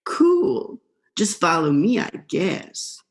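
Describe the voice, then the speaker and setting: Young woman playing a slangy sardonic eel dude, swooping pitch. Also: sing-song, slow. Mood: laid-back, slangy. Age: young adult. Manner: casual, slangy stoner drawl. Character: laid-back, vengeful male eel, slangy and sardonic. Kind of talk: student radio play acting.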